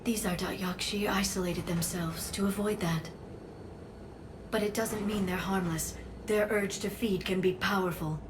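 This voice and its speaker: A woman playing an earnest alien scholar who explains gravely and precisely in a video game. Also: mid-range voice, fairly even intonation, unhurried, lightly acted. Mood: grave, explaining. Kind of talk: video game dramatic exposition. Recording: noticeable ambient noise.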